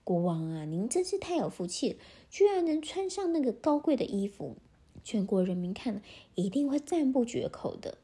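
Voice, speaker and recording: A nervous court minister gushes with flattery, as a woman narrator plays him. His praise comes out high and eager, in a soft, breathy voice. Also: normal speed, exaggerated voice. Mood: fawning. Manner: gushing, fawning praise.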